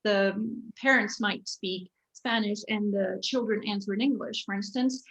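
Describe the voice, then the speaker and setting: A woman moderating a panel discussion who asks her questions in a measured, articulate way. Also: medium pitch, fairly bright tone, low-quality recording. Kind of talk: interview questions.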